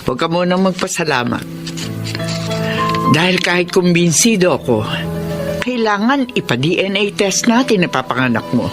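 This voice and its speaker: A woman's voice: lowish voice, animated, moderate pace, pauses often, music in the background, lightly acted. A woman playing a stern but supportive middle-aged mother-in-law in a radio drama, firm and frank. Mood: firm, reserved.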